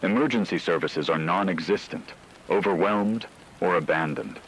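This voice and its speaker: A man playing a grave radio announcer, solemnly reading scripted horror narration in a measured, ominous way. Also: low-pitched, fairly bright tone, a little hiss or hum, rough recording. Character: grave male radio announcer reporting a werewolf apocalypse. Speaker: radio emergency broadcast announcer.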